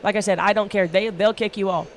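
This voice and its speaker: A woman's voice: mid-range voice, fairly even intonation, fast, crowd noise. A woman hosting a livestream panel, talking bluntly and emphatically and swearing constantly in casual rants. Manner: blunt, heavily profane, emphatic.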